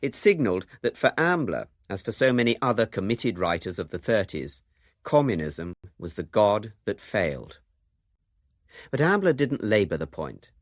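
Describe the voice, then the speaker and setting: A man's voice: on the low side, very animated, moderate pace, no echo, studio quality. A man giving a scripted narration for a radio documentary.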